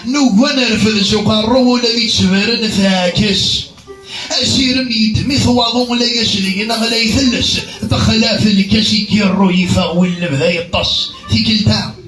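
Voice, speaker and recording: A man's voice: high voice, moderate pace, a bit bright. A man recites poetry as a poet performing his verse. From Kabylie, Algeria. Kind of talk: poetry recitation over music. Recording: roomy echo, music behind. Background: music.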